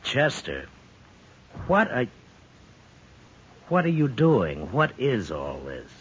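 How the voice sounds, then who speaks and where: An actor playing a stern, weary, no-nonsense American frontier marshal in a 1950s radio western, terse and dry. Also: male voice, mid-range voice, wildly swooping pitch, slow, fairly bright tone, slight background noise, heavily acted. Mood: irritated. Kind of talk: 1950s radio drama acting. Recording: muffled 1950s radio recording.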